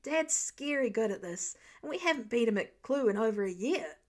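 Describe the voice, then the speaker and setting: A woman voices a competitive young boy in a family storybook. He sounds high-pitched, brash and a little smug. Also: animated, moderate pace, lightly acted.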